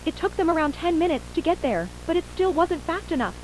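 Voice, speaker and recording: A woman's voice: extremely high pitch, animated, fairly quick, rough recording. A woman playing a shaken mother and attack survivor, plainly recounting events in an acted horror audio drama interview. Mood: distressed. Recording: faint hum and hiss.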